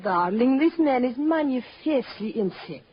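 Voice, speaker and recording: A woman's voice: high-pitched, animated, measured pace, slightly rough, exaggerated voice. A woman playing a melodramatic, haughty kept mistress in old radio drama style, on a muffled vintage recording. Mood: haughty disdain. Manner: melodramatic, affected. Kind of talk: mid-century radio drama acting.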